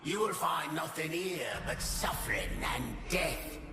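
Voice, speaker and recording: A grim lost spirit from a fantasy game. He speaks slowly in a creaky, gravelly voice, ominous and theatrical, with music underneath. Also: on the high side, expressive, echoey room. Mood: grim warning. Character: doomed wandering spirit, grimly warning trespassers. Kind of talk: dramatic fantasy video game acting.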